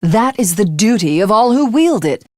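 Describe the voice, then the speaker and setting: A woman's voice: medium pitch, very animated, normal speed, a little mellow, no room sound, clean studio sound, close to an everyday voice. A woman voicing an imperious female alien warlord who deems herself a god in a video game, with a haughty, formal, theatrical delivery. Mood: haughty, stern. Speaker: voice actress. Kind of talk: English video game character voice lines.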